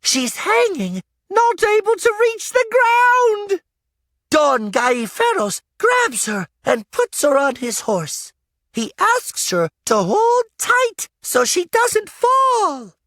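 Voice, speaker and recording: A woman voicing an excitable young boy who narrates a puppet show with dramatic flair in a storybook reading. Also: very high voice, takes it slowly, no room sound, studio quality, voice pushed up, full character voice. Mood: alarmed, excited. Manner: breathless, dramatic showman's delivery. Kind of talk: theatrical children's storybook read-aloud.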